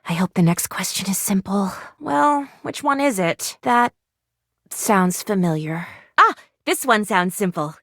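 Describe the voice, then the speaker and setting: A woman's voice: mid-range voice, animated, a little slow, a bit bright, no echo, studio quality. A woman voicing an eager, theatrical first-aid kit in a video game, prim and chirpy with old-fashioned, formal phrasing. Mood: studious, perky. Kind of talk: video game character voice acting.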